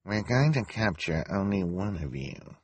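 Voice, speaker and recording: Storybook villainess, performed by a man: vain and menacing, low breathy whisper, very expressive pitch swings. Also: extremely slow, no echo, heavily acted. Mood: quiet menace. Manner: menacing, sneering. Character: sinister, glamorous, vain villainess.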